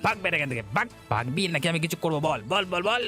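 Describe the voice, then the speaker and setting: Guy doing a selfish cartoon village bully, kinda high voice, snappy and threatening, music under it. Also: very animated, fast. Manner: gruff, dismissive, threatening. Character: arrogant, selfish village bully, adult man. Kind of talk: Bengali moral-story cartoon dubbing. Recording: music behind the voice.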